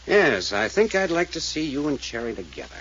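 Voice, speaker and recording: An actor playing a tough, blunt American detective in 1940s radio style, firing clipped, relentless questions. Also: male voice, on the high side, big pitch swings, fairly quick, a bit bright. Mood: pressing, accusing. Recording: muffled, noisy old radio recording.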